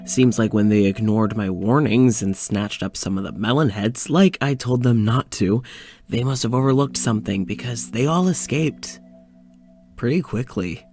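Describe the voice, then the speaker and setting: A man playing an uneasy, weary scientist in an audio drama, confiding to a recorder in a halting way. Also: fairly low pitch, a little mellow. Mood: weary, resigned. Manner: hesitant, halting, sighing. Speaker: fiction podcast lead actor. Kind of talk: audio drama, confessional monologue.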